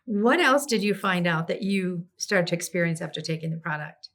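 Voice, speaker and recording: A woman hosting an interview, asking questions and cheering her guest on with quick affirmations. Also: on the low side, expressive, a bit bright. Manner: eager, affirming, leads with questions. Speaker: interview host.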